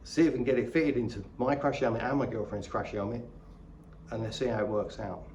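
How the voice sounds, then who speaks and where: A middle-aged man with a soft, dark-toned voice talks casually through a gear review. He is chatty and plain-spoken, and some background noise sits behind him. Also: mid-range voice, steady tone, fairly quick. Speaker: vlogger reviewing motorcycle gear. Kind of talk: casual unboxing and review talk.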